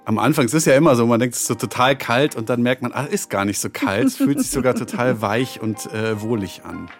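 A man's voice: medium pitch, very animated, a little fast, soft background music. A man, an actor and voice-over speaker, chatting casually in an interview and often searching for his words.

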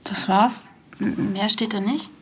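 A woman playing a curious, gravelly-voiced investigator. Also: mid-range voice, steady tone, unhurried, a little hiss or hum, natural voice. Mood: probing. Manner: probing, gently coaxing, sometimes whispering asides. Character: curious young woman searching for a lost tape. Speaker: podcaster interviewing a witness. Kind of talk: audio drama acting.

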